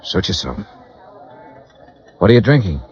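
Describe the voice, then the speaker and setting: Casting: terse American noir investigator, low voice with lively swings, deadpan and weary, vintage radio drama. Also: male voice, a little slow, breathy edge, heavily acted. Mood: bitter reproach. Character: hard-boiled, weary male insurance investigator. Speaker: lead actor, insurance investigator narrator. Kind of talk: 1950s American radio noir acting with narration. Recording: dull vintage radio recording, music behind.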